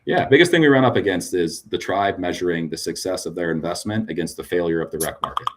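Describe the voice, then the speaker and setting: Husky, very low-voiced man. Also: expressive, quick pace. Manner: frank, tag questions with "right?". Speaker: cannabis business guest. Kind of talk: conversational podcast interview.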